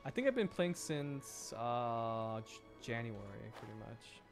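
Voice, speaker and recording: A man's voice: mid-range voice, animated, unhurried, over music. A man doing casual live gameplay commentary as a streamer, talking to himself in exasperated questions. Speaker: game streamer.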